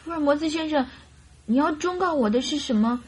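A woman's voice: high voice, animated, a little fast, darkish tone. A woman playing a naive, devoted young lady in distress in a radio drama, pleading and girlish. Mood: insistent, upset. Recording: radio drama with music behind.

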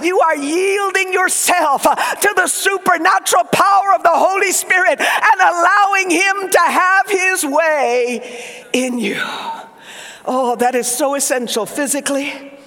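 Fervent high-voiced Southern preacher man. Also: fairly even intonation, unhurried, in a large hall. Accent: Southern US (Oklahoma). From Oklahoma, USA. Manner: fervent preaching, swings from shouts to hushed whispers. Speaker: pastor. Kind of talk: sermon.

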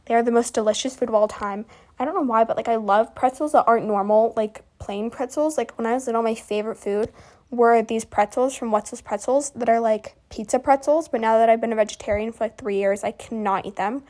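A teenage girl with a fairly high voice tells stories casually, as if chatting to friends. She rambles and doubles back, leaning on teen slang with an easily exasperated delivery. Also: fairly quick, a little mellow. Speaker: podcast host.

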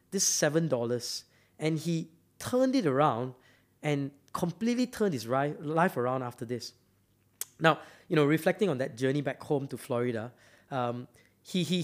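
A man's voice: on the high side, animated, normal speed. A man from Malaysia giving a motivational talk, emphatic and often repeating words as he builds up to a point. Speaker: speaker.

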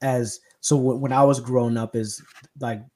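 A man's voice: medium pitch, steady tone, moderate pace, warm tone. A young man from Kenya, a podcast host, talking casually and hesitantly with many restarts and fillers. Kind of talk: casual conversation.

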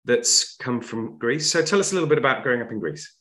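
A man's voice: medium pitch, animated, fast, a bit bright. Friendly, rambling male co-host. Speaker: podcast co-host. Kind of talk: casual interview questions.

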